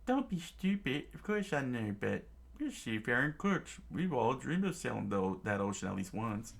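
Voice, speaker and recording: A man voicing a gruff ship's chef who scoffs at a boy's dreams and gives orders, lecturing in a raised voice. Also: mid-range voice, very animated, moderate pace, pitched above the performer's own voice. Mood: scoffing. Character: gruff older male ship's chef, mocking and bossy.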